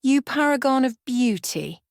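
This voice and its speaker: A woman's voice: high voice, lively intonation, unhurried, no room sound, studio quality, voice pushed up. A woman voicing a vain, scheming, wicked queen in a storybook, menacing and dramatic. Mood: gloating menace.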